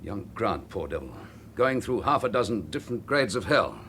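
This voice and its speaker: An actor in a radio drama playing a dogged, wary police chief inspector with terse, gruff replies. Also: male voice, on the low side, big pitch swings, moderate pace, a bit bright. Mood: indignant. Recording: faintly noisy radio drama recording.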